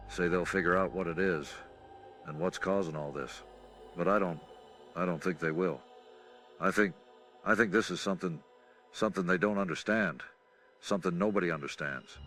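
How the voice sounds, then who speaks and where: An actor playing a weary, frightened middle-aged farmer recording a halting, anguished diary entry in a radio drama. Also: male voice, lowish voice, sharp tone. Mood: uneasy, awed. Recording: music behind the voice. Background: background music.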